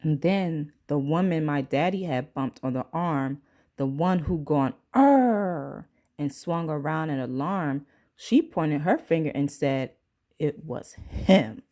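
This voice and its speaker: A woman reads a picture book to young children in the style of a preschool teacher. Her voice sits a little low and she takes her time, dramatizing the sound words and dialogue. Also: unhurried, a little mellow. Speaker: pre-K teacher reading a picture book aloud. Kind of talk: storybook read-aloud for young children.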